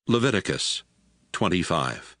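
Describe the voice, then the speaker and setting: Low-voiced male scripture narrator over music. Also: sing-song, a little slow, fairly bright tone, no room sound.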